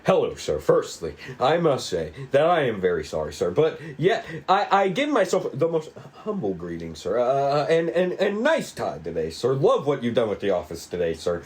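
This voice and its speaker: A man's voice: mid-range voice, huge pitch swings, normal speed, few pauses. A man voicing an earnest, stubborn young detective in a noir cop drama, stammering, deferential and insistent. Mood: eager, servile. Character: earnest, stubborn young male detective, fawning with his boss. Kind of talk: 1940s noir cop drama table read.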